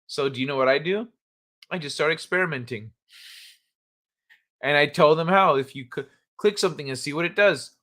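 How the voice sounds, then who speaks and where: A man hosts a casual live stream in a slightly higher than usual voice. He rambles and jokes loosely, swears freely and laughs often. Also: fairly bright tone. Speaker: livestream host. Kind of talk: casual live-chat talk.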